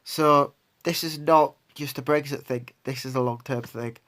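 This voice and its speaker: A man with a cold and a stuffy nose gives an indignant, rambling solo opinion monologue, full of pauses and restarts. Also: mid-range voice, fairly even intonation, fairly bright tone. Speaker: vlogger.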